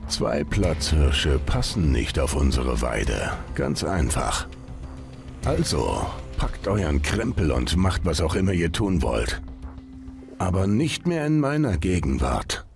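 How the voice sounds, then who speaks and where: A man's voice: very deep voice, sing-song, slow, gravelly, music in the background, exaggerated voice. An actor playing a domineering, mocking male gang boss in a video game, sneering and dismissive. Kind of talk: dramatic video game acting.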